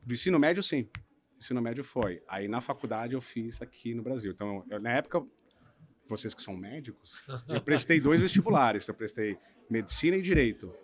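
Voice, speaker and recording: A man from Brazil, a lawyer in his thirties, telling the story of his career in a relaxed podcast conversation, often checking in with "né?". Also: medium pitch, brisk. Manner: relaxed storytelling, hedging with frequent "né?". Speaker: guest lawyer. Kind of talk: casual podcast interview.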